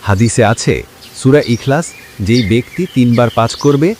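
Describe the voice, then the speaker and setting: A man playing a kindly village imam in a cartoon, teaching children in a gentle, encouraging, didactic way over noisy ambience. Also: mid-range voice, moderate pace, lightly acted. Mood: earnest, instructive. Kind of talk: scripted cartoon dialogue and Quran recitation.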